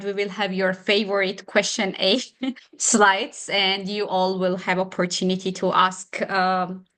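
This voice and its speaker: A woman whose first language is not English, hosting an online mentorship session and encouraging applicants in halting grammar. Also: mid-range voice, sharp tone. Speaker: session moderator.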